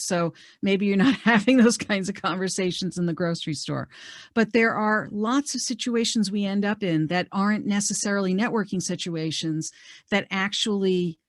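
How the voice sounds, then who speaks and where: Middle-aged woman career coach on a video call; loud, chatty delivery, dry close sound. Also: medium pitch, dry recording. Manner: conversational, lots of fillers and restarts. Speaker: career coach leading a webinar. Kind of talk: presenting a networking webinar. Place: home office on a video call.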